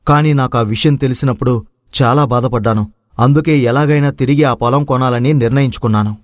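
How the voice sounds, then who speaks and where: A man's voice: medium pitch, fairly quick, dry recording, studio quality, close to an everyday voice. A man playing an earnest young village son. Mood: heartfelt. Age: young adult. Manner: earnest, respectful. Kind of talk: dramatized moral story acting.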